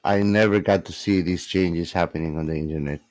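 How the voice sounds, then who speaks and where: Low-voiced man, casual chat. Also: fairly even intonation, a little fast, a little mellow, no room sound. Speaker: podcast co-host.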